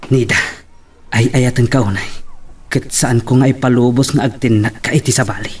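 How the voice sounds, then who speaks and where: An actor playing a possessive, menacing suitor in an Ilocano radio drama, taunting and insistent. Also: male voice, mid-range voice, steady tone, moderate pace, a little hiss or hum, natural voice. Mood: threatening. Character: possessive, menacing male suitor who will not be refused.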